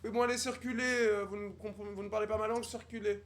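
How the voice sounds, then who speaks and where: A young man doing a haughty, condescending posh snob with a high voice and stiff, affected formal diction. His speech is pompous and mocking. A soft hiss of background noise sits under it. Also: little pitch movement, quick pace, close to an everyday voice. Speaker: livestreamer on a video chat app. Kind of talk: improvised character roleplay with strangers.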